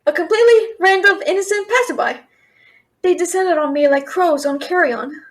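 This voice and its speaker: A young woman playing a cheeky, frightened thief who stammers and bluffs in a fantasy audio roleplay. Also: very high voice. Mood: flustered, placating. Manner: nervous, stammering, fast-talking bluffer. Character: cheeky, scared young female thief, talking her way out.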